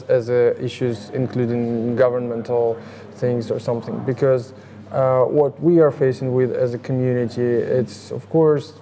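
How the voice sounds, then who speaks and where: A man from Ukraine with a Ukrainian accent, answering interview questions in a halting way with many fillers and restarts. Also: mid-range voice, dark tone. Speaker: interviewee, harm reduction advocate.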